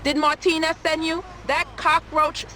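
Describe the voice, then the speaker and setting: An actress playing a brazen, sassy streetwalker who teases in a video game cutscene. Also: female voice, sits high, flat delivery, unhurried, pushed and bright, slight background noise.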